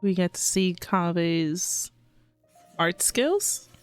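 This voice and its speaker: A woman doing casual, playful live reaction commentary as a game streamer, expressive and giggly. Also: on the low side, extremely slow, smooth. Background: game music.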